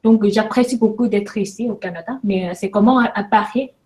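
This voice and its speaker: A woman's voice: mid-range voice, fairly quick, a little mellow. A woman whose first language is Chinese, an immigrant speaking French in a casual video message. She speaks very hesitantly, with frequent fillers and self-corrections.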